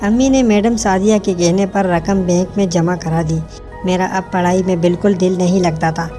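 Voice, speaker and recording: A woman narrates a scripted story in the first person. Her voice sits fairly low and has a soft, mellow tone. Faint music plays behind her. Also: fairly even intonation, moderate pace.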